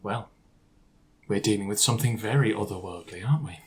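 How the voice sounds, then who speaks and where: Man, fairly low pitch, casual, tabletop game player. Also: a little fast.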